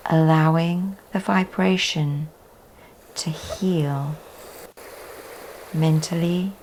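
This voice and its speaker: A woman teaching yoga leads a guided relaxation meditation in a soft, often breathy delivery with long pauses. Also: low-pitched, rough recording. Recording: faint background noise.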